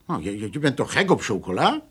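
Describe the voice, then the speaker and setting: A man's voice: mid-range voice, very animated, fast talker, fairly bright tone, breathy edge. A man playing an awkward, evasive husband who farms horses, speaking hesitantly in a radio play. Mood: sheepish, evasive. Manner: hesitant, stammering, casual-sounding.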